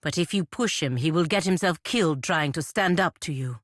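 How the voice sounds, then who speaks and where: Dutiful, disapproving female knight-diplomat, fantasy RPG. Deep register, formal measured delivery, dry studio sound. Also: no room sound. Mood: cool, disapproving. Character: proud, stern, dutiful female paladin envoy of a merchant republic. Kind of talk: scripted fantasy video game dialogue.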